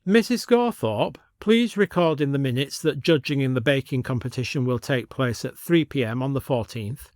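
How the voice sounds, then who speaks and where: A man's voice: medium pitch, normal speed, few pauses, a little mellow, dry recording, studio quality, lightly acted. A man voicing a prim, bossy upper-class village lady with a commanding, formal manner in an audio story. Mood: brisk, commanding.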